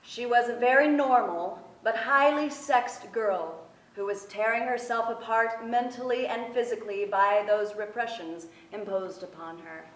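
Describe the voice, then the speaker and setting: Young female reader, articulate, lightly high voice, roomy theater sound. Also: measured pace, a little hiss or hum. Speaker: stage actress, reader. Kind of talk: dramatic staged memoir reading. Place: seated on stage in a theater. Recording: echoey theater stage.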